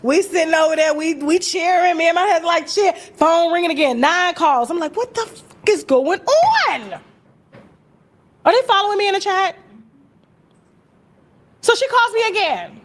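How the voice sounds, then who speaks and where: An American woman telling gossip in a casual, animated, story-like way, brash and sassy, swearing freely and acting out conversations. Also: very high-pitched, normal speed, pauses often. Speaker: gossip vlogger and host.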